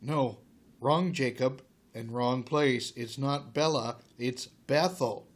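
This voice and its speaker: Slow, portentous put-on mock-epic narrator. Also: mid-range voice. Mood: portentous, deadpan. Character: overdramatic narrator of a fugitive adventure spoof. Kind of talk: scripted comic narration.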